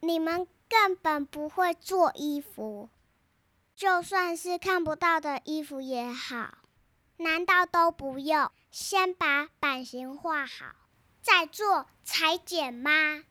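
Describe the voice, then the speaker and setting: A woman's voice: high-pitched, slow, bright, forceful tone, no echo, heavily acted. A young girl playing an earnest, outspoken storybook heroine, reciting her lines carefully. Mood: accusing. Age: child.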